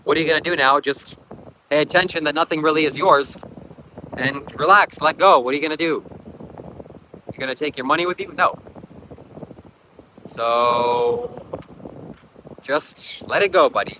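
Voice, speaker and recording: A man's voice: on the high side, normal speed, long pauses, pushed and bright, poor audio. A man with a Ukrainian accent, English not his first language, chatting casually on a beach livestream and reading comments, coughing often and rambling reflectively. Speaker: livestream host. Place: outdoors at a beach. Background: faint wind.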